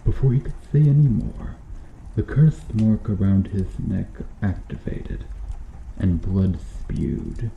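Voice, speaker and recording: A man voicing a cruel, bitter medieval knight in a slow-burn ASMR roleplay, speaking with brooding, deliberate menace. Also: low voice, big pitch swings, vocal fry, echoey room, narrow, tinny audio, slight background noise, full character voice. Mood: grave, probing. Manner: brooding, menacing, deliberate delivery with dropping hushed phrases.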